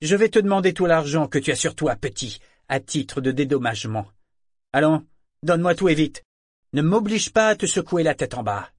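A man doing a gravelly, cruel slave broker. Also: mid-range voice, no echo, clean studio sound, lightly acted. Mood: mocking. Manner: fast, biting false politeness. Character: small agile male slave broker, mock-affable and cruel. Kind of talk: audiobook character reading.

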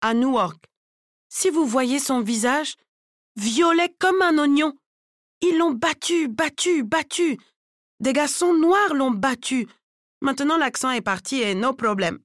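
Woman acting blunt, emphatic African immigrant hairdresser, raised pitch. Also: lively intonation, slow, no room sound, studio quality. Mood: indignant, animated. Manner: emphatic, repetitive, broken grammar.

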